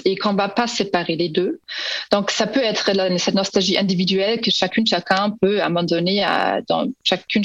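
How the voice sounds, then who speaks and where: Non-native woman academic, searching for words, mid-pitched, on a dull, dry remote recording. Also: no room sound. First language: not French. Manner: hesitant, many fillers and restarts. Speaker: researcher guest. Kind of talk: academic interview answers.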